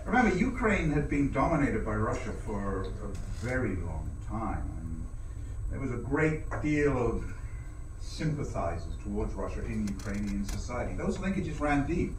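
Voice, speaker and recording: An actor playing a reflective man who talks in a measured, musing way. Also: on the low side, sing-song. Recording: roomy, distant mic, faint hiss.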